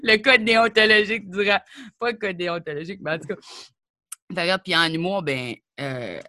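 A young woman from New Brunswick with an Acadian French accent, chatting casually as an interview guest, animated and full of quick asides. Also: lowish voice, no room sound. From New Brunswick, Canada. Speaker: comedian and rapper, guest.

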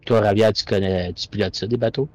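Brief: deeper-voiced man, laid-back player, dry asides. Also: fairly even intonation, a little fast, a little mellow, dull audio, soft background music. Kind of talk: casual chat at a tabletop game.

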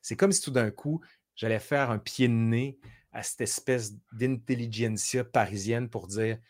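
A man's voice: medium pitch, unhurried. A man with a Quebec French accent, a guest telling stories in casual conversation and acting out his own thoughts. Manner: animated storytelling, acts out quoted inner voices.

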